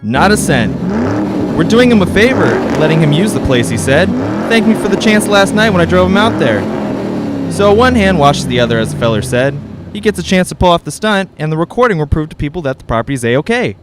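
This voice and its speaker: Man playing a folksy salesman, very animated. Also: on the high side, fairly quick, very noisy. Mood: breezy, persuasive. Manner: folksy, persuasive salesman patter. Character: folksy, fast-talking, eager male real estate agent. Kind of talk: 1940s radio drama acting.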